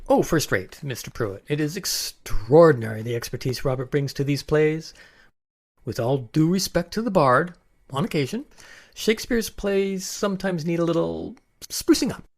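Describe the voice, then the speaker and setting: An actor in a radio play voicing a proud, blustering Regency gentleman who acts as an amateur, hearty and emphatic. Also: fairly high pitch, normal speed, gravelly. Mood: confident, tactful.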